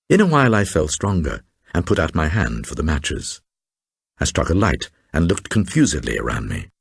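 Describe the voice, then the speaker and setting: A man giving a measured, theatrical dramatic reading of a gothic horror tale as its narrator. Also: sits low, creaky, husky, no echo, clean studio sound. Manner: measured, literary, theatrical storytelling. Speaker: narrator, reader of a novel.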